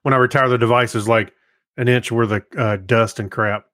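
A man's voice: mid-range voice, steady tone, brisk, a bit bright, dry recording, clean studio sound. An American man co-hosting a podcast, chatting casually in an easygoing, rambling way. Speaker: podcast co-host. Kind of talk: casual chat.

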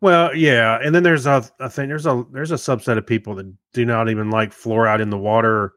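A man co-hosting a tech news chat in a relaxed, easygoing way, adding joking asides. His voice sits in a middle pitch. The recording is dry and close to the mic. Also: fairly quick, no echo. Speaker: podcast co-host.

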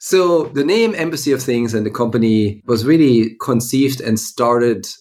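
Male interview guest, mid pitch, chatty explainer. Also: moderate pace, a little mellow. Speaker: guest, tech company CEO. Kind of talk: conversational interview answers.

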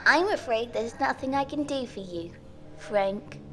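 Man putting on a high, sing-song little girl voice, eerie, grave and grown-up, lively pitch, odd distant timbre. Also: a little slow, a little hiss or hum, full character voice. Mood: stern. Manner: grave, precise, grown-up serious tone. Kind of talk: audiobook character voice.

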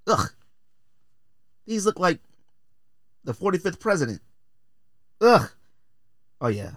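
An American man hosts a casual podcast in a very lively voice that swings up and down. He is blunt and joking, full of slang and theatrical emphasis. The sound is close and dry. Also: sits high, takes it slowly, no room sound. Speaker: podcast host. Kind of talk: casual opinionated podcast commentary.

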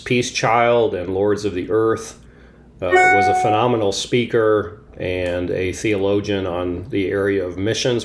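A man in his sixties, from Papua, hosting a podcast in a relaxed, rambling way with many pauses and restarts. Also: lowish voice. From Papua, Indonesia. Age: 60s. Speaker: podcast host. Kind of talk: casual interview chat.

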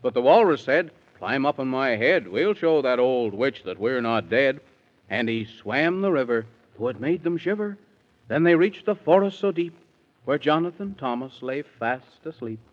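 A man's voice: mid-range voice, animated, moderate pace. A man narrating a children's tale in singsong rhyme, theatrical, in 1930s radio style. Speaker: storyteller, narrator. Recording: muffled old 1930s radio recording.

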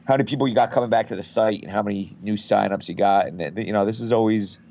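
A man with a head cold speaks in a gravelly, hoarse, low voice over a dull lo-fi line. He is a betting site guest who rambles easily, with plenty of "you know"s. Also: quick pace. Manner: relaxed, rambling, many fillers. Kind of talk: casual podcast interview.

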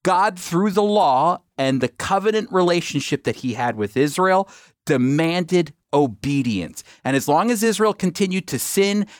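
A man gives a Bible teaching for radio in a fairly high voice. He talks emphatically, pausing for rhetorical questions. The sound is dry and close, with no room echo. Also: expressive.

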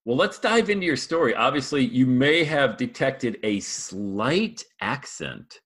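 Fired-up man with a forceful, punchy voice. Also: mid-range voice, expressive, measured pace. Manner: hyped, pumped-up, shouty catchphrases. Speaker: podcast host. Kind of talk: high-energy motivational podcast interview. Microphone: video call.

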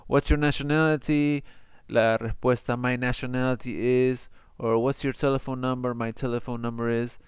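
A man's voice: medium pitch, steady tone, smooth, no room sound, clean studio sound. Male bilingual English teacher, patient, uses fillers, clean resonant tone. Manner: switches between Spanish and English, explains slowly with fillers. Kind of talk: bilingual online English lesson.

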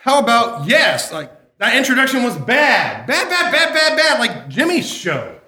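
Bratty sarcastic guy heckling on a live show, bright voice, super echoey. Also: very high voice, wildly swooping pitch, unhurried. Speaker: heckling co-host. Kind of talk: live comedy banter. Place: live show inside a comic book shop. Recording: very echoey live room with music.

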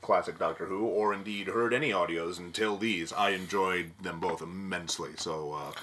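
A man's voice: low voice, fairly even intonation, normal speed, sharp tone, slight background noise. A man chatting informally and enthusiastically as a podcast panelist. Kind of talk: casual chat.

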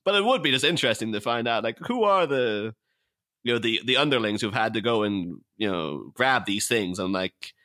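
A man in casual podcast chat, talking in an animated, rambling way. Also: mid-range voice, normal speed. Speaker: podcast panelist.

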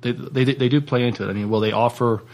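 A man's voice: medium pitch, fairly even intonation, fast talker, creaky, darkish tone. A man co-hosting a podcast in casual chat, speaking hesitantly and often correcting himself. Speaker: podcast co-host.

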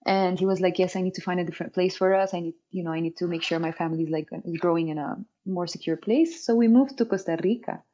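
A young woman from Lebanon speaks in a somewhat low voice, rambling through her story with lots of fillers and restarts. The audio is dull and lo-fi. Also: fast, few pauses, dark tone. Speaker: interview guest, dancer. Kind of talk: casual interview storytelling.

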